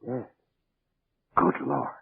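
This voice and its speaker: An actor playing a guilty, jittery man hiding a secret in 1940s radio drama, stammering and evasive. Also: extremely slow. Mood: shocked. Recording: scratchy, narrow-band 1940s radio recording.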